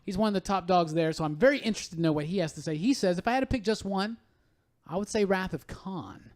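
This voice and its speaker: A man hosting a casual podcast chat, telling stories in an animated, quote-filled way. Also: high-pitched, quick pace. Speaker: podcast host.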